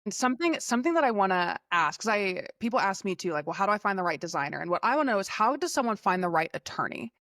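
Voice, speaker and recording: A woman's voice: mid-range voice, big pitch swings, quick pace, hardly any pauses, fairly bright tone, no room sound, clean studio sound. A woman hosting an interview, building her questions up thoughtfully step by step. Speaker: podcast host.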